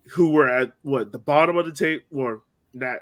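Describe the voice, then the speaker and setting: A man's voice: fairly high pitch, poor audio. A man hosting a sports podcast, chatting informally and slangily as a fan. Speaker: podcast host. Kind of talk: casual sports chat.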